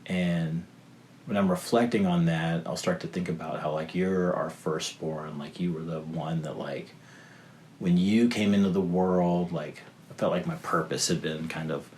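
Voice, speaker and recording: A man in his thirties with a deep, low voice, speaking as a podcast guest. He rambles loosely, piling up fillers and restarting his sentences. A faint hiss sits under the recording. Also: steady tone, unhurried. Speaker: guest, father of three. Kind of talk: casual podcast conversation.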